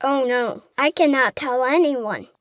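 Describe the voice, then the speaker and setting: High-voiced earnest little girl. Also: measured pace, dry recording, clean studio sound. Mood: troubled, downcast. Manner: plaintive, simple, childlike. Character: unhappy, innocent little orphan girl, earnest. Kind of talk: full-cast audiobook acting.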